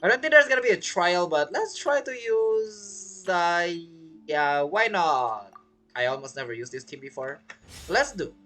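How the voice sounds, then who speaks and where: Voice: mid-range voice, animated, a little slow, sharp tone. A game streamer giving casual gameplay commentary, reacting and thinking aloud. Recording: faint game music behind.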